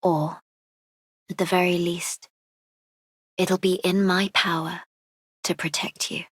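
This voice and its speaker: Game role: devoted female guardian, solemn and hushed, low-ish, very slow delivery. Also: level tone, dry recording, studio quality, full character voice. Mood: solemn, tender. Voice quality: breathy, hushed. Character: solemn, devoted, protective woman operative. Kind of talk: video game character voice lines.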